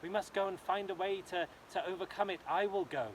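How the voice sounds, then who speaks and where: A man's voice: sits high, fairly quick, slight background noise, voice pushed up, close to an everyday voice. A man voicing a brave, defiant young princess in armour in a told story. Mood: determined. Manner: determined, defiant.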